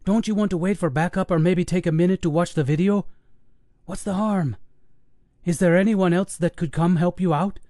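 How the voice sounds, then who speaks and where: A man voicing a cautious male paramedic who speaks gently, a little higher than his own voice. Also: normal speed, no echo, clean studio sound, lightly acted. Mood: worried.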